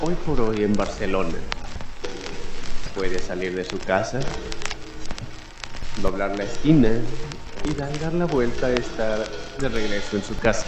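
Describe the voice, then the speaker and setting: A man playing a solemn late-night host of a mysterious radio station, narrating strange news in a deliberate, dramatic way with halting pauses. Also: fairly low pitch, natural voice. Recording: echoey room with music. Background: music.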